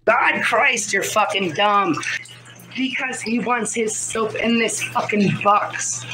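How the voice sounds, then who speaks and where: A woman's voice: mid-range voice, slow. Middle-aged American woman, noisy livestream audio. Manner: profane, confrontational. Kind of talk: rambling livestream chat. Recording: noisy livestream replay with room ambience.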